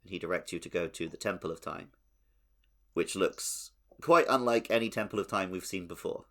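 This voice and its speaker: A man with a notably deep voice co-hosts a gaming podcast. He speaks in a casual, conversational way, describing and explaining as he goes. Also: brisk, bright, forceful tone. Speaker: podcast host. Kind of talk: casual video game discussion.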